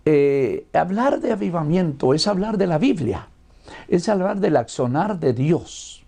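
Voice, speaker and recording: An older man with a Guatemalan accent giving a religious lecture in a deliberate, expository manner, as a missionary teacher would. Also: fairly high pitch, animated, vocal fry, mellow.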